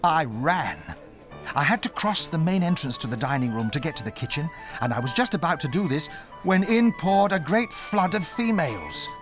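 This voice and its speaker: A man's voice: fairly high pitch, big pitch swings, moderate pace, music in the background. A man as narrator of a dramatized storybook, vividly recalling a boyhood adventure as a mouse. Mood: storytelling.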